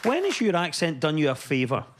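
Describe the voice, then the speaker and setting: A man hosting a comedy panel show, reading out setups and landing punchlines in a brisk, jokey way. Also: on the high side, wildly swooping pitch, over a noisy crowd, very noisy. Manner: brisk and jokey, reads setups then lands gags. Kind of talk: comic quiz hosting, punchline delivery. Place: TV studio with a live audience.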